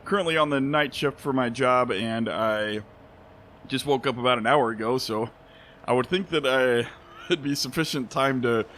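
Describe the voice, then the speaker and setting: Hoarse young man rambling. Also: medium pitch, normal speed, a bit bright, a little hiss or hum. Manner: rambling, self-correcting, chuckles often. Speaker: let's play host. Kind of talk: casual gameplay commentary. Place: home spare bedroom studio.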